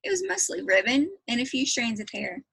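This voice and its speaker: A woman's voice: high-pitched, sing-song, brisk, vocal fry, fairly bright tone, breathy edge, no room sound. A woman reading a children's book aloud as a teacher would, telling the story as narrator.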